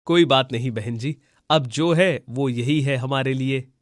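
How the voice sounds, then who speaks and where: A man's voice: medium pitch, a little slow, no room sound, clean studio sound, close to an everyday voice. A man voicing a loyal, poor village husband in a cartoon story, speaking politely and emphatically. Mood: firm, earnest.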